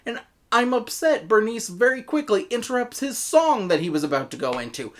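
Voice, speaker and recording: A woman with an American accent giving a scripted review in an animated manner, with bursts of mock-exasperated emphasis. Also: a little high, fairly quick. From United States. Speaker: audio drama reviewer.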